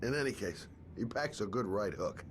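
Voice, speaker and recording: An actor playing a wry, battered middle-aged private detective in a video game, understated and groaning. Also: male voice, lowish voice, expressive, normal speed, background noise, lightly acted. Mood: pained, wry.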